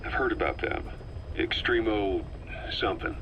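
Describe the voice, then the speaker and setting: A man's voice: fairly low pitch, moderate pace, dull audio, slight background noise, lightly acted. An actor playing a tough, suspicious military man who questions bluntly in a video game cutscene. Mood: inquisitive.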